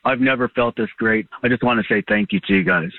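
A man in his fifties gushing excitedly in a customer testimonial. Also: medium pitch, fast, vocal fry, no room sound. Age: 50s. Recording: tinny phone line.

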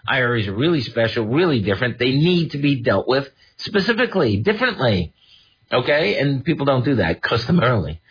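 A man with a husky voice hosting his own radio show as an estate attorney, talking in a casual, animated way with mocking asides. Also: mid-range voice, brisk, muffled recording.

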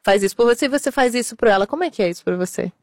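A woman from Portugal, interviewing in a gentle, thoughtful way with long reflective questions. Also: a little high, expressive, very fast, no room sound, clean studio sound.

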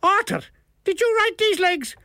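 A man's voice: very high-pitched, very animated, unhurried, sharp tone, no room sound, studio quality, pitched above the performer's own voice, heavily acted. A man voicing an indignant, lazy, argumentative Irish villager with a Dublin accent in a theatrical, exclamatory comic audiobook style.

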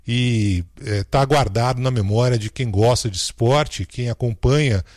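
A man on a radio talk show who fondly remembers a colleague who has just died. He speaks thoughtfully, pausing with fillers. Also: medium pitch, darkish tone, no room sound, studio quality. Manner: reflective, fond, with hesitant fillers. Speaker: radio commentator. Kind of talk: casual radio tribute.

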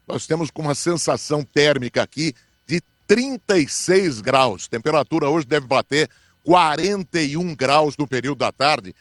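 A man talking as a radio sports commentator on a morning show, explaining matches in a measured way. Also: fairly high pitch, no echo, clean studio sound.

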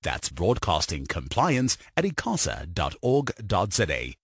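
A man with a low, husky voice races through a broadcast compliance notice. His pitch lifts and drops as he reads out the numbers and addresses. The booth sounds dry and close. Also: no room sound. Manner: brisk, flat, reading out numbers. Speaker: announcer. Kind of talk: rapid legal disclaimer read.

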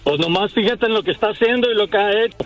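Blunt caller. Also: male voice, high-pitched, racing, faint music behind, rough recording. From Chihuahua, Mexico. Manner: blunt, dismissive, short answers. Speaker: radio show caller.

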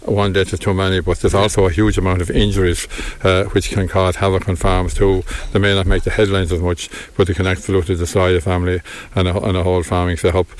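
Man, farm-group spokesman, very low and level delivery, halting with restarts, talking outdoors on a farm. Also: little pitch movement. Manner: measured, halting with many restarts. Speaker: farm organisation representative.

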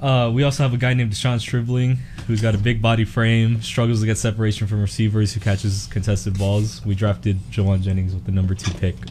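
A man's voice: on the low side, level tone, a little fast, hardly any pauses, darkish tone, slight background noise. A man in his twenties, a panelist in a casual group chat on a sports show, talking in an easygoing, hesitant way with many fillers. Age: 20s.

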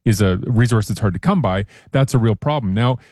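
A man explaining a topic in a measured, careful way with frequent restarts, as a guest columnist in a casual radio chat. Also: on the low side, darkish tone, no echo, clean studio sound. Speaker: science columnist.

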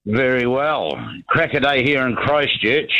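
A man's voice: mid-range voice, measured pace. A man, a regular caller to a radio show, talking bluntly and wryly with many uhs. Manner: blunt, wry, hesitant with uhs. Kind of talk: casual phone call to radio show. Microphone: telephone. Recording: dull phone line.

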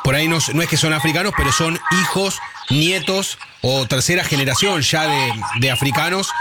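A man's voice: fairly high pitch, brisk, heavy background noise. An Argentine man co-hosting a radio show, chatty, agreeing often and adding his own examples. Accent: Argentine Spanish. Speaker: radio co-host.

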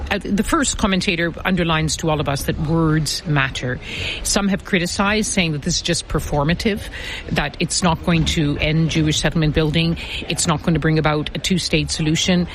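A woman correspondent giving measured radio analysis, with frequent fillers and restarts. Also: low-pitched, a little hiss or hum, low-quality recording. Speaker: foreign correspondent.

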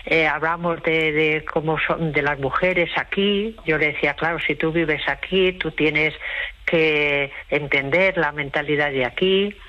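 A tired woman interviewed by phone, giving a personal account in a halting, reflective way. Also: low voice, steady tone, fairly bright tone. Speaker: interview guest, host to migrants. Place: remote phone call. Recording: muffled, band-limited phone call.

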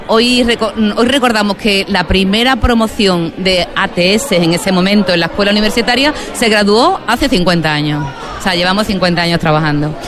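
A woman who is dean of a nursing faculty, speaking in a brisk, explanatory way at an outdoor event. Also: mid-range voice. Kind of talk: on-location interview. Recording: outdoor event with crowd noise. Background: crowd chatter.

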